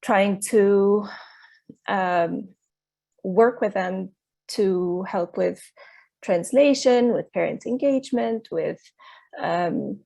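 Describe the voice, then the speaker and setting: Slow, gravelly-voiced woman introducing herself. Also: mid-range voice, warm tone. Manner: slow and halting, many fillers. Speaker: candidate parent representative. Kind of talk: self-introduction at an online meeting. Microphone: video call.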